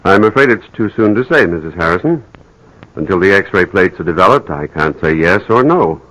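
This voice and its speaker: A very low-pitched, grave family doctor in an old radio drama. He is polite and measured, choosing his words with some hesitation. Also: lively intonation, a bit bright, poor audio. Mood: courteous. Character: grave, courteous male physician breaking bad news. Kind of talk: 1940s radio drama acting.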